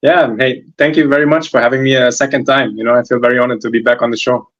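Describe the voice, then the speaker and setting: A man's voice: medium pitch, steady tone, quick pace, a bit bright, highs cut off. A man in his twenties from Germany, a footballer answering interview questions, hesitant, with many fillers and restarts. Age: 20s. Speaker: guest footballer.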